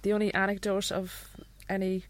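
A woman from Ireland, a podcast guest telling stories slowly with plenty of fillers. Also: mid-range voice, completely flat delivery. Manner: unhurried, halting with fillers. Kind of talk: casual podcast chat.

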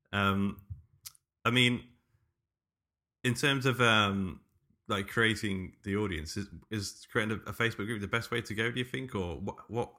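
A deep-voiced man with a bright, crisp edge co-hosts a podcast. He chats casually and haltingly, working his way round to what he wants to ask. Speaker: podcast co-host. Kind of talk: casual interview chat.

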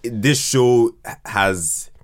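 A man in casual podcast chat, halting and repeating words as he searches for them. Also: medium pitch. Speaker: podcast speaker.

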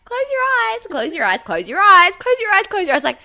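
A woman co-hosting a podcast, telling a story in casual chat with lively acted-out quotes. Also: extremely high pitch, brisk. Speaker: podcast co-host.

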